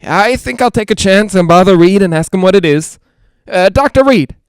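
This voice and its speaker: Male, clear high voice; actor playing a jittery, lonely haunted-house broadcaster, rambling, halting, old-time radio drama. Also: animated, no room sound. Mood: anxious, rattled. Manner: nervous, rambling, halting, self-reassuring. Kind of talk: 1940s-style radio drama monologue.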